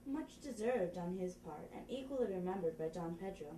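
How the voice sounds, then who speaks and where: A woman's voice: lowish voice, expressive, moderate pace, dark tone, lightly acted. A woman acting a formal messenger bringing news of war in Shakespearean stage dialogue. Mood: earnest, formal. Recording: roomy, distant, faint noise.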